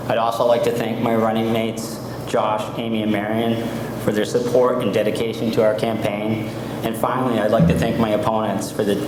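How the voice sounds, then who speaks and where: A man with a fairly low voice gives a formal, slightly halting statement as a councilman. The room echoes noticeably, with clear background noise. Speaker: city councilman. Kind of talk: candidate forum opening statement.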